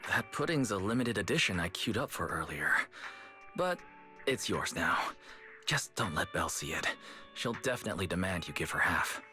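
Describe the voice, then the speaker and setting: A man playing a kind, composed young manager in a game cutscene, speaking in a gentle, measured way. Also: sits low, very animated, exaggerated voice. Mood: kind, casual. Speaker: video game voice actor. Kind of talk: animated game cutscene dialogue. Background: background music.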